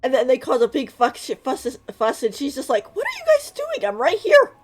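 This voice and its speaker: A woman's voice: high voice, wildly swooping pitch, moderate pace. A woman giving casual, informal live commentary as a game streamer, in a chatty manner.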